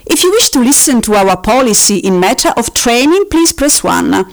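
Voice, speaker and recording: A woman voicing a politely officious automated phone-menu system, reading scripted options formally with drawn-out, sing-song emphasis. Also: a little high, big pitch swings, creaky. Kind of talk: recorded phone-menu announcement, scripted parody.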